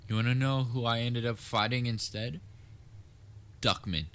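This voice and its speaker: A man's voice: medium pitch, fairly even intonation, moderate pace, fairly bright tone, lightly acted. A man playing a theatrical, pompous supervillain with dry sarcasm in a comedic audio roleplay. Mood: concerned, exasperated. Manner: pompous, gloating, dryly sarcastic. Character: theatrical male supervillain, pompous yet secretly concerned nemesis. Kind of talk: scripted audio roleplay, comedic villain monologue.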